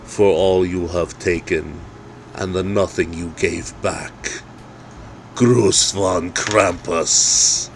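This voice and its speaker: Guy doing a big furry horned demon from a xmas horror story, booming low voice, drags words out menacingly. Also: steady tone, a little hiss or hum, full character voice. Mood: menacing, wrathful. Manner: slow, menacing proclamation.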